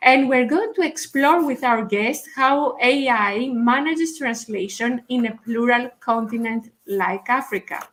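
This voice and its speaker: Brisk woman host, slightly high voice. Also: lively intonation, fairly bright tone. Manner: formal, list-like introductions. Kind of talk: interview introduction.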